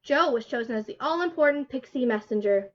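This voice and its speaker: A woman reading a children's storybook aloud in an expressive storytelling style, recorded from a livestream. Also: high voice, a little fast. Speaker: children's story time reader. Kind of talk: animated storybook narration for children. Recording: dull lo-fi livestream audio.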